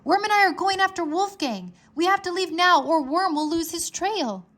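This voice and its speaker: A woman's voice: very high-pitched, animated, moderate pace, fairly bright tone, voice pushed up. A woman voicing a brave, kind young boy dragon master in a children's storybook, eager and sincere. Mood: urgent.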